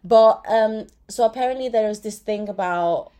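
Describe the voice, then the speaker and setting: A woman's voice: mid-range voice, moderate pace, warm tone, clear, resonant tone. A woman hosting a podcast in casual chat. She is curious, asks lots of questions and laughs easily. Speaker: podcast host.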